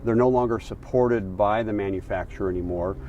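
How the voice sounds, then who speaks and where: Male interview guest, public safety official, low voice, explanatory, a little ambient noise. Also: brisk, dark tone, lo-fi sound.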